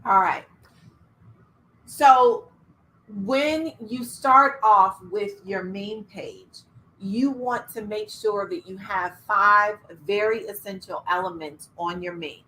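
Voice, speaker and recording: A middle-aged woman coaching entrepreneurs in an online workshop, energetic, laughing and asking the audience questions. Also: mid-range voice, takes it slowly, rough recording. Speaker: business coach. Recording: faint background noise.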